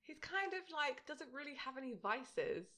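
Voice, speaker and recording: A woman's voice: high-pitched, lively intonation, moderate pace, sharp tone. A woman chatting informally about television as the co-host of a podcast. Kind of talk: casual TV discussion chat.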